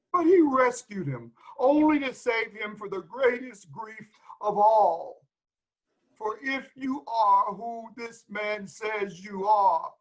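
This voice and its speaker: An actor gives us an old shepherd servant of Greek tragedy, reluctant and full of pity. His voice sits very high and he speaks slowly, with theatrical care. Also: full character voice, rough recording. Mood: pleading.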